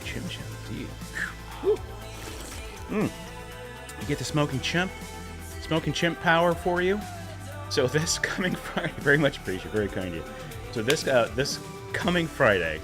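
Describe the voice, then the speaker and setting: A man hosting a casual livestream, slightly hoarse, rambling and warmly thanking viewers for tips. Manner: rambling, thanks tippers, breaks into silly high voices. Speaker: livestream host.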